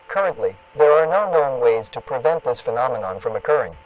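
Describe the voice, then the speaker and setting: A man playing an official emergency alert announcer, reading a scripted warning in a formal, impersonal, measured way through a radio filter. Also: mid-range voice, animated. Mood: formal, grave. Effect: radio broadcast filter. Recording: narrow, phone-like radio broadcast sound.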